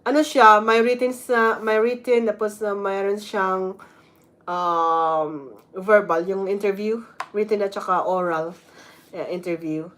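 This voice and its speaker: A woman's voice: mid-range voice, steady tone, a little slow, fairly bright tone. A woman with a Filipino accent, a nurse giving casual advice in a vlog, switching between Tagalog and English and reading some parts aloud. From Philippines. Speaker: vlogger, nurse.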